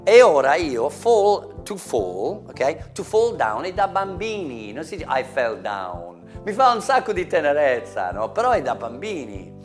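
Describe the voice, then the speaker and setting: Lively english teacher guy, acts stuff out, voice jumps all over, little guitar in the back. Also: fairly high pitch, normal speed, hardly any pauses. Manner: animated, acts out examples, mixes in Italian. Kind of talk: language lesson for Italians.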